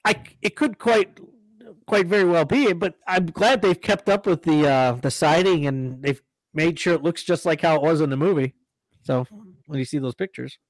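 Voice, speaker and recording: A man's voice: on the high side, normal speed, no echo, rough recording. A man co-hosting a podcast, telling stories in a rambling casual way. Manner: rambling storyteller, lots of restarts and laughs. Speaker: podcast co-host. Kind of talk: casual chat.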